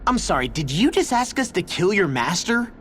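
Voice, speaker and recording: A woman's voice: lowish voice, very animated, normal speed, fairly bright tone, slight background noise. A woman playing a brash, skeptical, outspoken youngster in a video game, blunt and incredulous. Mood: indignant. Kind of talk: video game cutscene acting.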